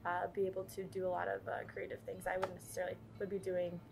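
A woman's voice: on the low side, completely flat delivery, vocal fry, background noise. A young woman from Indiana, a design student, answering interview questions casually with many fillers. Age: young adult. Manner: quick, lots of fillers.

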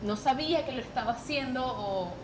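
A woman's voice: high-pitched, a little fast. A young woman, an acting guest on a podcast, telling stories casually and informally with English words dropped in. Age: young adult. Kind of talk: casual storytelling chat. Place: shop with shelves of goods, indoors. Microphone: podcast mic at table. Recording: echoey, noisy indoor shop.

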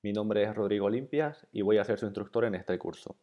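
A man from Bolivia, introducing an online programming course in a relaxed, conversational way. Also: on the low side, a little fast, lo-fi sound. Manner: relaxed, conversational, with fillers and repeated encouragement. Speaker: course instructor. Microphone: voice-over over slides.

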